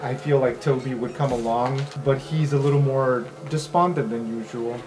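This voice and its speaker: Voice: mid-range voice, moderate pace, rough recording. A game master narrating an improvised tabletop story in a casual, hesitant way.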